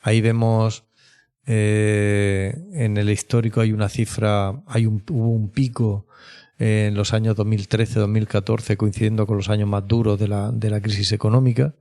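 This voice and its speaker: Low, creaky-voiced Spanish man explaining. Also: level tone, warm tone. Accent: Spanish from Spain. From Spain. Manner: measured, explanatory, often seeking agreement with tag questions. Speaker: clinical psychologist, interview guest. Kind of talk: conversational expert explanation in an interview.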